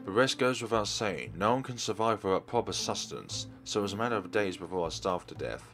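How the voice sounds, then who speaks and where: A man's voice: on the low side, fast, a bit bright, soft background music. A man voicing a regretful, self-deprecating old man with formal phrasing, reading visual novel dialogue aloud. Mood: grave, explanatory.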